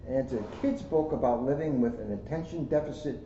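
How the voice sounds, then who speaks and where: A man's voice: medium pitch, fairly quick, dark tone. A man who works as a police officer, reading a children's book aloud indoors in a storytelling style. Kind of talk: read-aloud storytelling with casual asides. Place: indoors, second floor of a borough hall. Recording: roomy echo, dull lo-fi sound, clear noise.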